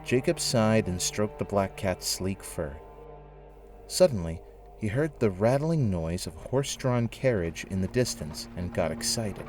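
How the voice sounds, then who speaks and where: A low-pitched male narrator tells a scripted story for an audio drama. His delivery is measured, and music plays beneath it. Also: expressive, a little mellow.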